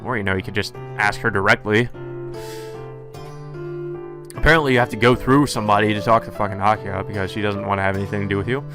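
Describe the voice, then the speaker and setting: A man's voice: fairly low pitch, steady tone, quick pace, pauses often. Sarcastic man commentating over game music. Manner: sarcastic, irreverent. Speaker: let's play host. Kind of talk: visual novel read-aloud with joking commentary.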